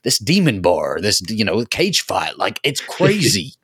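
A man's voice: fairly low pitch, huge pitch swings, measured pace, a bit bright, breathy edge, no echo, clean studio sound. A man co-hosting a podcast, talking casually and emphatically as he gushes in a fan discussion. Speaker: podcast host.

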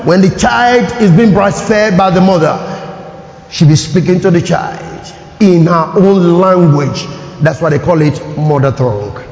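A man with a Nigerian accent delivers a sermon in a large hall. His voice sits high, and he preaches with animated energy, calling out for responses. The sound carries a long, roomy reverb. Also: a little slow. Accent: Nigerian English. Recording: echoey hall, dull lo-fi sound.

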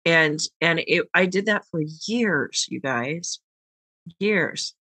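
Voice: mid-range voice, lively intonation, takes it slowly, sharp tone, no echo. A podcast host and tarot reader talking casually and frankly, swearing now and then and rambling a little.

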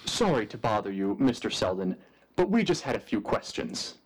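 Radio play bit part: nervous eighteen-year-old male canvasser, husky, swooping intonation, polite and hesitant. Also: fairly low pitch, big pitch swings, moderate pace, heavily acted, low-quality recording. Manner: hesitant, deferential.

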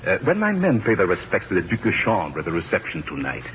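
A powerful, scheming general of old radio drama. He speaks in a low, very animated voice with courtly, oily menace. The recording is dull and slightly hissy. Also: fast talker, heavily acted. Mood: silky menace. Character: scheming, suave Spanish general, powerful villain. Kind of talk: 1940s radio drama acting.

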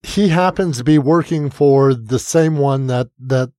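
A man's voice: mid-range voice, measured pace, a little mellow, no room sound, clean studio sound. A man co-hosting a podcast in a casual political chat, exasperated, swearing freely and often trailing off before starting again. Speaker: podcast co-host.

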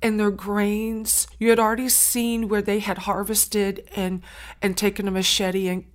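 A woman's voice: medium pitch, little pitch movement. A woman from Saint Helena Island whose first language is Gullah, telling her story earnestly as an interview guest. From Saint Helena Island, South Carolina. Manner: earnest, heartfelt, with frequent pauses and restarts.

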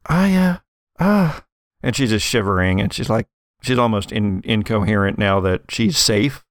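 A man narrating as game master, improvising casually in halting, informal descriptions. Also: on the low side, dry recording, clean studio sound.

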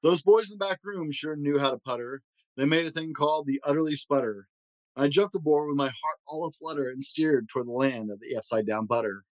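A man reading a rhyming children's story aloud in an expressive way. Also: on the high side, no room sound, poor audio. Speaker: storybook reader.

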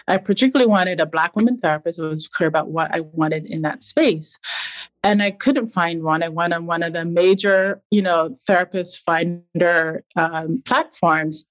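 A woman's voice: on the low side, no echo. A woman from Barbados in her forties, a psychologist and founder, answering interview questions candidly with fillers and restarts.

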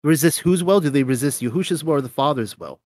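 A man with a fairly high voice reads old scripture verses steadily and then drifts into loose, casual commentary full of fillers. He has a cold, so his voice comes out a little nasal. The recording is close and dry, with no room sound. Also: animated, fast talker, darkish tone, no echo. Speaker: host of a scripture reading show.

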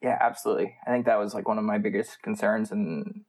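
A man's voice: on the low side, fairly even intonation, quick pace, a little mellow. A man with an American accent, a student guest answering interview questions in a casual, conversational style. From United States. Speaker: MBA student, podcast guest.

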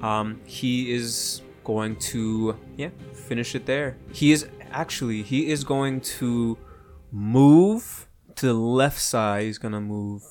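A man runs a tabletop game in a casual, playful way. His voice sits at a middle pitch, and background music plays under his narration. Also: slow pace, darkish tone. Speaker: game master.

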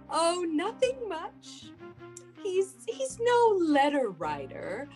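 A woman's voice: very high voice, sing-song, very slow, a little mellow, faint music behind, exaggerated voice. A woman playing a lovesick, anxious, clinging young woman with a hesitant, fervent manner in a classic radio suspense play. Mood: dreamy, devoted. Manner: hesitant, repeating words, fervent.

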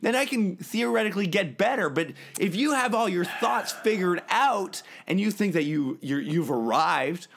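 A man's voice: high-pitched, expressive, normal speed, gravelly, hardly any pauses, fairly bright tone, slight background noise, exaggerated voice. A man playing a comedian host who defends himself, flustered and exasperated, while his guest berates him. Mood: defensive. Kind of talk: improvised comedy bit.

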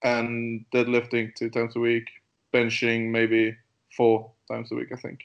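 Slow, halting man from Denmark. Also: mid-range voice, completely flat delivery, gravelly, dull audio. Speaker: guest powerlifter. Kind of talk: casual interview chat.

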